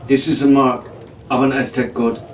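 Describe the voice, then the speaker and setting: A tense, distrustful young crewmate, as a storyteller voices him, in a pirate storybook. The reading sounds roomy and distant, with faint ambience underneath. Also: male voice, medium pitch, level tone, moderate pace, slight background noise, lightly acted. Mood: wary.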